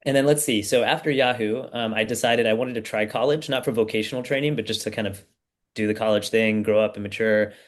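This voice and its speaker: A man in his thirties, from the United States, talks through his own story as a podcast guest. His pace is easygoing and full of ums and small asides, and his voice sits a little low. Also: fast. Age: 30s. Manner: relaxed storytelling with frequent fillers. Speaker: startup founder guest. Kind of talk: casual podcast interview.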